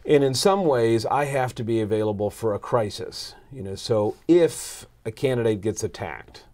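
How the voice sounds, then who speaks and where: A man's voice: mid-range voice, expressive, normal speed, a little mellow. A middle-aged American man answering as an interview guest in a conversational, earnest way, voicing bits of dialogue as he explains. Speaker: podcast guest, marketing consultant. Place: small indoor podcast studio. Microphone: lapel mic.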